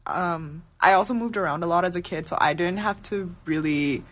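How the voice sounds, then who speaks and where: A woman's voice: fairly low pitch, fairly quick, fairly bright tone. A young woman from Ethiopia, a student union coordinator, chatting in a rambling, laughing way on campus radio. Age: young adult. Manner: rambling, laughing asides, many "like"s. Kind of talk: casual radio chat.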